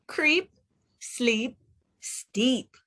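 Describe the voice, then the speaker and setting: A Jamaican woman with a fairly high voice leads a children's read-aloud phonics lesson. She takes it very slowly, setting out words clearly and checking in with questions like a teacher. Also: expressive, bright, forceful tone. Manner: instructive, enunciates rhyming words, asks the listener questions.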